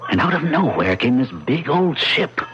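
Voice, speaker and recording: A man's voice: on the high side, big pitch swings, normal speed, fairly bright tone. An actor playing a protective, wry father in an old-time radio drama, with emphatic delivery. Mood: wry, dramatic. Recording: muffled old radio broadcast, music behind.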